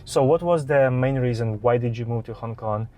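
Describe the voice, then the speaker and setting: A man's voice: mid-range voice, moderate pace, dark tone. A man hosting an interview, asking questions politely with some hesitant fillers. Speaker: host.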